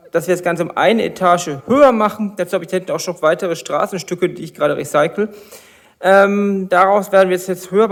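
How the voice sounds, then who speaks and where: A man with a slight cold, chatting casually while he explains his building steps in a hobby vlog. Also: high voice. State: has a cold. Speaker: LEGO builder and channel host.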